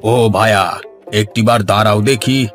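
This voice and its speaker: A man's voice: fairly low pitch, unhurried, fairly bright tone. A man voicing a nosy, folksy grown village man in a cartoon, with theatrical drawling delivery. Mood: curious, pleased. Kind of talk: Bengali cartoon voice acting. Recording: music under the voice.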